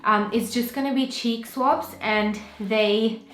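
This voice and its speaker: A woman's voice: on the high side, steady tone, slow pace, a bit bright. A young woman from Pakistan vlogging casually to camera, chatty and full of fillers like "um" and "you know". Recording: echoey room with faint music.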